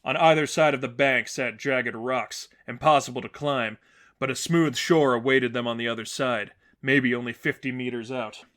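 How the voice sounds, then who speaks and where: A man's voice: medium pitch, steady tone, moderate pace, a bit bright. Man narrating a dramatic story. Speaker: narrator reading fan fiction.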